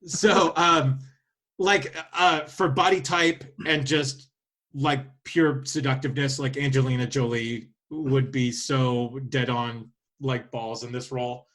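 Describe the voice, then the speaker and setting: A man co-hosting a podcast, talking casually and haltingly as he thinks his way through his picks aloud. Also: medium pitch, fairly even intonation, fairly bright tone. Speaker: podcast host. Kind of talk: casual chat, presenting a list of casting picks.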